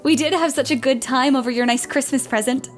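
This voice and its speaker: A woman's voice: sits high, fairly quick, faint music behind, close to an everyday voice. A woman playing a spirited, tomboyish teenage girl in a period audio play, youthful and eager. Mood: shyly friendly. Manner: eager, expressive.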